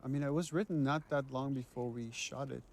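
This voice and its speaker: A man's voice: medium pitch, steady tone, brisk, gravelly, a little mellow, slight background noise. A man giving casual director's commentary on a game, explaining things in a reflective way. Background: game cutscene audio.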